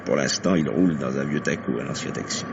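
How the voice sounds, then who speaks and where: An actor playing a methodical, dogged male police lieutenant in a French radio mystery, questioning deliberately with emphatic turns. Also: extremely low pitch, creaky. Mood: deliberate, insistent. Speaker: police investigator. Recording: dull, noisy vintage radio recording.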